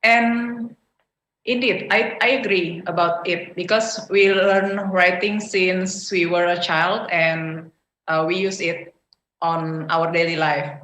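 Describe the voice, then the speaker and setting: A woman with an Indonesian accent gives her prepared speech slowly over a video call, stopping often to search for words. Her voice sits a little low. It echoes in a roomy space with faint noise behind it. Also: fairly even intonation, fairly bright tone. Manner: hesitant, many "uh" fillers. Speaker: club member giving a speech. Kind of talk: prepared speech at an online club meeting.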